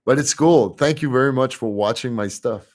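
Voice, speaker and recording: A man's voice: medium pitch, measured pace. A young man with a French accent, speaking English as a second language, casually chatting on a livestream and reading comments aloud with easy laughs. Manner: relaxed and chatty, often laughing softly. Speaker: livestream host reading chat.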